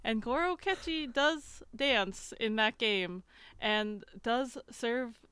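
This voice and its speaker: Woman on a podcast, bright highish voice, dry close sound, nerdy amused explaining. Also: slow, clear, resonant tone, no room sound. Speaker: podcast host. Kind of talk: casual group chat.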